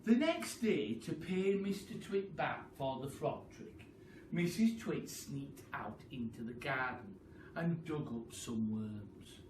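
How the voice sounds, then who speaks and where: A man reading a children's story aloud in an expressive, deliberate storytelling style, with chatty asides. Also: mid-range voice. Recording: roomy, distant mic, faint noise.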